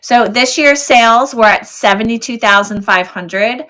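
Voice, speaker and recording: A woman's voice: a little high, measured pace, bright, forceful tone. A woman hosting a casual live sale stream, chatting with viewers and thinking aloud as she goes. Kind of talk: casual livestream chat with viewers.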